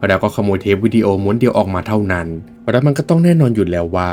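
A man's voice: fairly low pitch, big pitch swings, rapid-fire, darkish tone. A man reading scripted film commentary as a video essay narrator, explaining in an emphatic storytelling manner.

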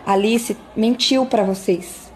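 A woman's voice: on the high side, slow pace, slight background noise, low-quality recording. A woman playing an emphatic, wronged young wife in an amateur radio drama. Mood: firm, aggrieved. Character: young wronged wife, insecure turned defiant.

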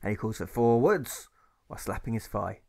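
A man's voice: on the low side, level tone, very fast, vocal fry. A man narrating his own rhyming novel, reading with a rhythmic lilt that stresses the rhymes.

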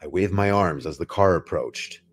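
A man narrating a scripted first-person scary story in a measured, unhurried way. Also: sits low, a little hiss or hum. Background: music behind the voice.

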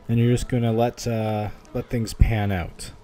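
A man's voice: mid-range voice, level tone, measured pace, dark tone, a little hiss or hum. A man giving a casual video game walkthrough in an informal, slightly hesitant, conversational way. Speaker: video game guide host. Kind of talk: casual gameplay walkthrough commentary.

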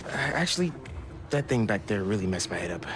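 An actor playing a casual, quick-witted young man bantering with friends in a video game. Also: fairly low pitch, very animated, a little hiss or hum. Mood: uneasy, casual.

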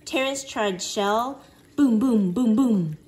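A woman's voice: a little high, slow pace, a little mellow. A woman reading a children's picture book aloud expressively, acting out exclamations and sound effects. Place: living room at home.

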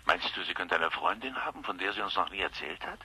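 An actor playing a brisk man heard over a line in a German crime radio drama. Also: low-pitched, lively intonation, bright, forceful tone, heavily acted.

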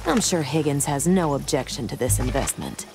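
Young frontier bride, low-pitched, brisk, defiant, self-assured, Western game. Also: female voice, animated, poor audio. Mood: composed, polite. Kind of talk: video game cutscene acting. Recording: music under the dialogue.

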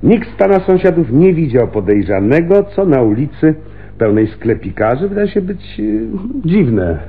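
Wry police lieutenant, swooping intonation. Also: male voice, a little high, sing-song, normal speed. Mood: confident. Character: wry, probing male police homicide lieutenant. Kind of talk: radio crime drama acting. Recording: muffled, band-limited radio recording.

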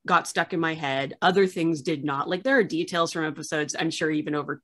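A woman's voice: deep voice, fairly quick. A woman in her thirties, an archivist hosting a casual interview over a video call from a small room, talking in a chatty way with many fillers and restarts. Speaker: host, archivist. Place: small room with framed pictures on wall.

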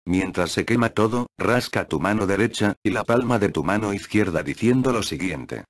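A man calmly reading step-by-step ritual instructions as a scripted voice-over. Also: on the low side, moderate pace. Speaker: narrator of a spell tutorial.

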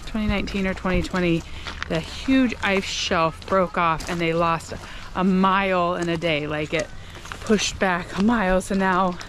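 A woman's voice: lowish voice, steady tone, measured pace. A woman in her thirties vlogging on a foggy lake shore, chatty and full of wonder. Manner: enthused, marvelling, chatty. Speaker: travel vlogger. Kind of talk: casual vlog narration. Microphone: handheld action camera. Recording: outdoor wind and water noise.

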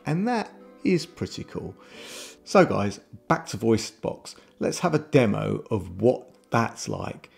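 A man's voice: mid-range voice, vocal fry, a little mellow. A middle-aged man presenting a tech product review in a casual but scripted YouTube style, recorded indoors on a close desk mic. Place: home room with shelves, indoors. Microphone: desk microphone close in front. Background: background music.

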